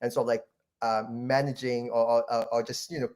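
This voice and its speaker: A man's voice: mid-range voice, flat delivery, a little fast, a little mellow, clean tone. Rambling Malaysian man telling his story. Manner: rambling, many fillers and restarts. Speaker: guest, venture capital partner. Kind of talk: casual interview, life story.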